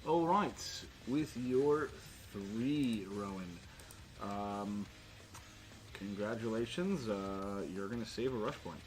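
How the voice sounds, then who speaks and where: A man's voice: on the low side, slow pace, low-quality recording. A man narrating a tabletop role-playing game as game master in a conversational way.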